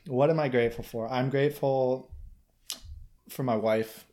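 A man's voice: mid-range voice, fairly even intonation, moderate pace, mellow. A man from Michigan with a Yooper accent talks as a guest in a casual interview. He is earnest and reflective, circling back and restarting his thoughts as he goes. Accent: Michigan Upper Peninsula.

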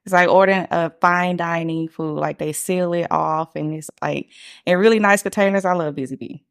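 A woman's voice: fairly low pitch, steady tone, moderate pace, vocal fry. A woman, hosting a casual podcast chat, talking loosely with lots of fillers. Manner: chatty, lots of "like" fillers, laughs easily. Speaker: podcast host.

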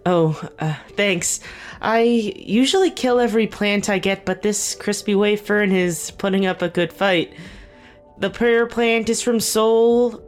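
An actress playing a middle-aged government official in an audio drama, speaking candidly with wry, hesitant phrasing. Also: female voice, medium pitch, a little slow, close to an everyday voice. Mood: awkward, hesitant. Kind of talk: naturalistic audio drama dialogue. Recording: music underneath. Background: background music.

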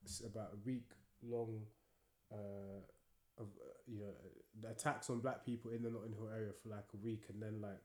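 A man's voice: on the low side, little pitch movement, normal speed. A young man telling a story in a casual podcast chat, with lots of fillers and restarts. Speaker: podcast co-host. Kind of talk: casual conversation. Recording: echoey room.

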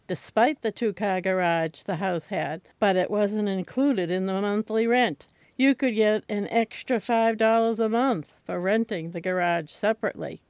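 Older woman, Boston accent, wry measured reading, mid pitch, dry recording. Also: a little mellow, no room sound. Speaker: senior center reader. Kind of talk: reading a personal essay aloud.

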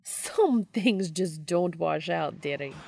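A woman's voice: fairly low pitch, expressive, slow, vocal fry, music in the background, exaggerated voice. A woman voicing a haughty, exasperated witch with a Scottish brogue in a storybook reading. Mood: exasperated, smug. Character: smirking Scottish witch, grousing, bemused, haughty spellcaster.

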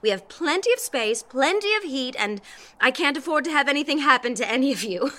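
A woman's voice: sits high, lively intonation, brisk, pushed and bright, slight background noise. A woman playing a gracious, controlling society hostess in an audio drama, polished and genteel in a theatrical way. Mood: commanding, gracious. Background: music.

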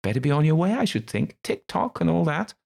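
A man's voice: on the high side, sing-song, a little fast, vocal fry, a little mellow, husky, pitched above the performer's own voice, heavily acted. A man voicing Crowley, a smug, theatrical schemer who talks in a mock-genteel, teasing way. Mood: wheedling.

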